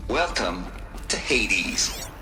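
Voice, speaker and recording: An actor playing a wry, folksy scientist in a video game, explaining things in a conversational, animated way. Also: male voice, lowish voice, drawn-out words, heavily acted. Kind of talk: scripted conversational presentation. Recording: music behind the voice.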